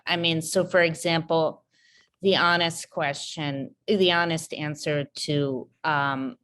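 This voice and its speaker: A woman's voice: deep voice, steady tone, takes it slowly, fairly bright tone, slight background noise. A woman around forty, a poet on a panel, answering thoughtfully and searching for her words. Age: about 40. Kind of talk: reflective panel conversation.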